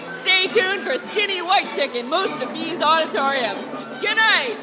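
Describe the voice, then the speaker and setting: A woman's voice: very high voice, animated, unhurried, rough recording. A woman narrating emphatically and mock-dramatically in the style of a 1940s radio serial announcer. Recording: live convention stage, loud music and crowd.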